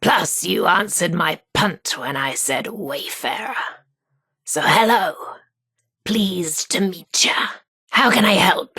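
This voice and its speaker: An actress with a husky, breathy voice, playing a shy but sassy, lovestruck radio DJ in a video game. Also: female voice, fairly low pitch, fairly bright tone, dry recording, studio quality, exaggerated voice. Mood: playful. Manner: informal, slangy, hesitant about feelings. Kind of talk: video game character dialogue.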